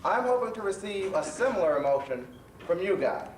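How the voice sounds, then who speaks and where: A man's voice: sits high, big pitch swings, brisk. A man playing a jovial storytelling professor who hosts a children's stage show with slightly stumbling delivery. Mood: genial. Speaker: host. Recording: roomy, distant stage recording with faint noise.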